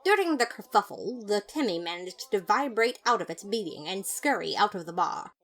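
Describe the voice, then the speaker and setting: Female audio-fiction narrator, expressive and theatrical, mid-pitched with lively intonation. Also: moderate pace. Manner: dramatic, expressive. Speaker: podfic narrator. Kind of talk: scripted first-person story narration.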